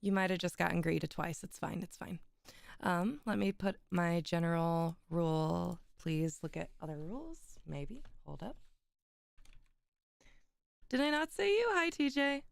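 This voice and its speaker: A young woman streamer, a little hoarse, chatting with viewers and then reading classic prose aloud with giggles and small stumbles. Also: on the low side, a little slow, no room sound. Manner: giggly, chatty, stumbles over foreign words. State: slightly rough, hoarse voice. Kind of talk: casual chat and literary reading aloud.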